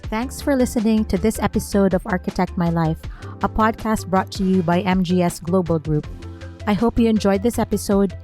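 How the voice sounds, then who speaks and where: A woman hosts a podcast in a measured, read-aloud manner. Her voice sits at an ordinary middle pitch, with soft music playing faintly behind her. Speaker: podcast host. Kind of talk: scripted intro and outro, interview questions.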